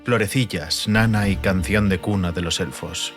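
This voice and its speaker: A man reads a fantasy audiobook as narrator, with a solemn, measured and theatrical delivery. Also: deep voice, a bit bright. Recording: music bed under the voice. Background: music.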